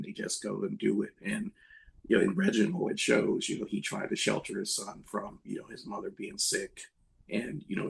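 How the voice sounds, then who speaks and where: A man's voice: on the low side, gravelly, husky, poor audio. A middle-aged man, a screenwriter answering questions in a candid, earnest and reflective way. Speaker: screenwriter, panel guest.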